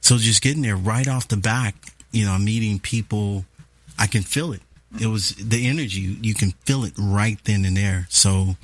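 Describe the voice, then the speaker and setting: A man hosting a podcast, talking in an easygoing, slangy, encouraging way. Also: on the low side. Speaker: podcast host. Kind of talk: casual conversation.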